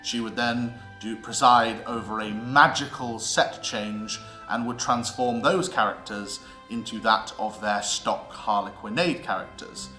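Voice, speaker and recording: Bright, theatrical British man presenting. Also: mid-range voice, unhurried, hardly any pauses. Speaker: presenter of a theatre history series. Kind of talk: scripted educational narration. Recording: roomy echo with music underneath.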